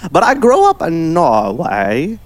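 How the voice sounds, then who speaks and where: A man voices a befuddled time traveler with a thick put-on German accent. He drags out his words slowly, and his pitch swoops wildly up and down in this improvised comedy podcast. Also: wildly swooping pitch, dry recording, full character voice. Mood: whimsical. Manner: halting, drawn-out, whimsical.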